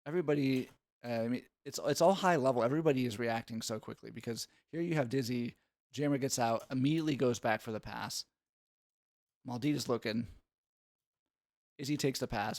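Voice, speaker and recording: A man's voice: medium pitch, no room sound. Man breaking down game footage, dry sound. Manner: instructive, explanatory. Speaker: sports analyst. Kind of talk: analytical play-by-play commentary.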